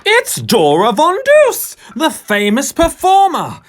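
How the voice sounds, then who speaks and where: A man reading a children's picture book in verse, with expressive, dramatic, rhythmic narration. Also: very high voice, slow pace.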